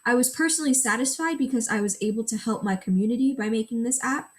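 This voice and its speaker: A woman's voice: a little high, fast talker. Young woman presenting a school project. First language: Gujarati. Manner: reads a prepared script, walks through screenshots step by step. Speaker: student presenter.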